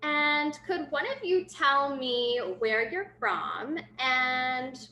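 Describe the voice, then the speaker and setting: Bright-voiced enthusiastic woman interviewer. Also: high voice, expressive, drawn-out words. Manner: enthusiastic, warm praise. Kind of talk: friendly interview questions. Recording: echoey room with faint noise.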